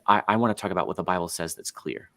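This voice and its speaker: A man's voice: low-pitched, racing, fairly bright tone, no room sound. A man in his forties, a guest author, explaining things animatedly in a podcast conversation, with frequent fillers. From United States. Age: 40s.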